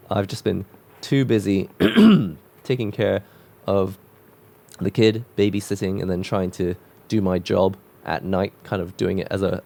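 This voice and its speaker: Man, croaky from a cold, gravelly, deep, mellow tone, casual drawing-vlog chat. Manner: musing, loose, with frequent restarts. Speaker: art vlogger drawing on camera. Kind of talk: casual reflective voice-over while drawing.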